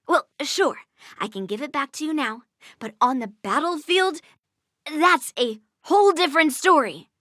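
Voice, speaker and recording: A woman's voice: high voice, animated, normal speed, a bit bright, no echo. A woman playing a cocky, blunt teenage swordswoman in a video game, with a smug, needling delivery. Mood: smug taunting. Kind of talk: scripted anime-style video game dialogue. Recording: background music under dialogue.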